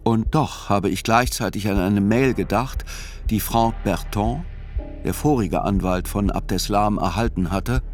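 A man giving scripted first-person literary narration in a radio play, measured and reflective. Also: on the low side, faint music behind.

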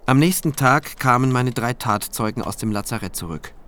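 A man's voice: mid-range voice, animated, normal speed, background noise, lightly acted. An actor playing a state prosecutor who narrates his case in a reflective, dry, observant first-person style for a radio drama. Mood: reflective, wry. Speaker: narrator and lead character.

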